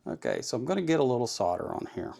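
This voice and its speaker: A man with a mid-pitched voice and plenty of gravelly fry hosts an informal how-to. He talks in a folksy, plain-spoken way, reassuring the learner and going back over his points. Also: wildly swooping pitch, racing, darkish tone. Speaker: host of an electronics kit-building tutorial. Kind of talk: informal hands-on tutorial talk.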